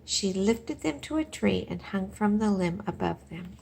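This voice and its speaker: Slow-paced woman reading to children. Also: medium pitch, lively intonation, creaky, darkish tone, roomy sound, recorded from a distance, slight background noise, low-quality recording. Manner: simple questions addressed directly to young listeners. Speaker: teacher reading a picture book aloud to children. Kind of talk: children's story read-aloud and lesson.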